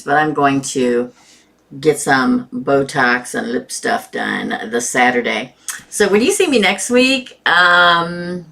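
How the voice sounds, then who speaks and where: A woman's voice: extremely low pitch, creaky, pushed and bright. A woman hosting a tarot live stream with a sore throat, chatting casually and greeting viewers by name.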